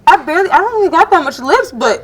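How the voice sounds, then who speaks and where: A young woman from Jamaica telling a personal story in a casual panel discussion, animated and acting out what others said. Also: fast talker. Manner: animated storytelling, acts out voices with big pitch jumps.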